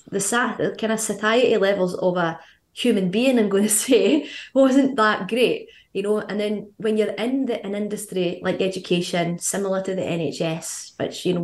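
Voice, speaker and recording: A woman in her thirties with a Scottish accent, chatting as a guest on a podcast, quick and full of fillers. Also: medium pitch, reverberant. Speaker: guest, fitness coach. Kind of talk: casual podcast interview.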